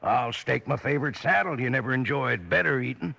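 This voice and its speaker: A man's voice: mid-range voice, expressive, brisk, vocal fry, bright, forceful tone, husky. A man working as a radio announcer, giving folksy 1950s commercial patter like a salesman.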